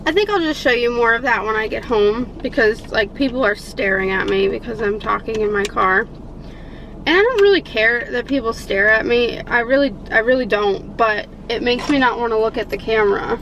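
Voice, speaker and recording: A young woman talks to her camera in a loose, rambling way, leaning on fillers as she thinks aloud. Her voice sits a little high with a noticeable gravelly fry, and she sounds stuffed up from a sinus infection. Faint background noise runs under the recording. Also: expressive, moderate pace, fairly bright tone, poor audio. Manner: rambling, many fillers like "like" and "I don't know". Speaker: vlogger. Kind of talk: casual chat to camera. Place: in a car, then at home.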